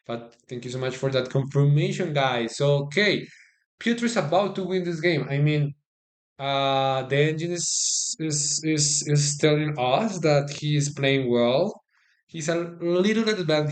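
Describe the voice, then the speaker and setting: A man from Colombia with a Colombian Spanish accent, whose first language is Spanish, gives casual live-stream chess commentary. He is chatty and halting, repeats words, and talks to his viewers, recorded on a phone. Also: on the high side.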